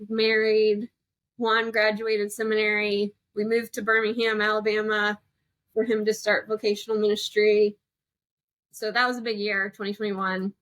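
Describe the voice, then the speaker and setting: A woman's voice: fairly high pitch, fairly bright tone, no room sound. Young woman, close dry sound, sharing her story. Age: young adult. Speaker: podcast guest. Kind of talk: conversational personal story.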